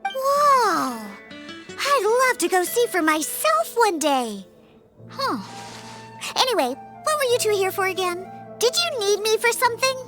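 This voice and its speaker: A little cat girl with a very high, childlike voice and lively intonation. She is earnest and a touch huffy, in game dialogue with music behind. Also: moderate pace. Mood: curious. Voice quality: childlike, girlish. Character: young cat-eared girl bartender, earnest and a little prickly. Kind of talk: video game character dialogue.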